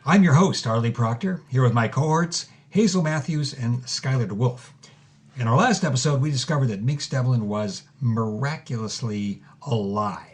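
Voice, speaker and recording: A man's voice: mid-range voice, normal speed, lightly acted. An actor playing an earnest, theatrical male host who presents and interviews in a scripted audio drama podcast. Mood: grand, dramatic.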